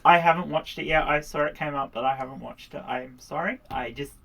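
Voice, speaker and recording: A livestream host chats in a casual, unscripted way. The voice sits at a middle pitch, and the talk is chatty and prone to laughter. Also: a little fast, creaky, a crowd in the background.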